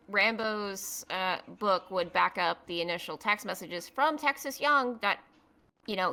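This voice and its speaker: A woman's voice: medium pitch, vocal fry, sharp tone. A woman giving improvised testimony as a witness in a roleplay trial, insistent and pushing back on questions.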